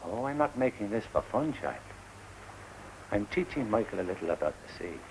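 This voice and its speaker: An actor playing a gruff, fussing old sailor minding shipwrecked children, colloquial and scolding yet tender, in a classic film. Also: male voice, fairly low pitch, sing-song, normal speed, noisy background. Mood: gentle.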